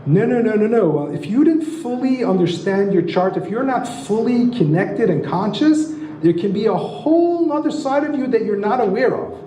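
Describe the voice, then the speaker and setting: High-voiced man lecturing in a roomy hall. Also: animated, normal speed, dark tone, slight background noise. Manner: enthusiastic, asks the audience rhetorical questions. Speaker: astrology lecturer and author. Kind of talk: teaching lecture. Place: lecture room. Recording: roomy echo.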